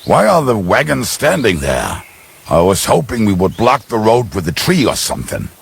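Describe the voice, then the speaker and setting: An actor voicing a boozy, cheeky middle-aged ruffian in a video game, with a gravelly, husky voice and a rambling manner. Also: male voice, fairly low pitch, huge pitch swings, normal speed, full character voice. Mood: grumbling. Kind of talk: video game character acting.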